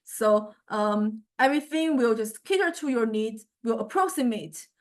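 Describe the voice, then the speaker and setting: Woman lecturer, slightly high voice, informal software tutorial, halting, repeats word starts, checks in often. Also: animated. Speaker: music technology lecturer.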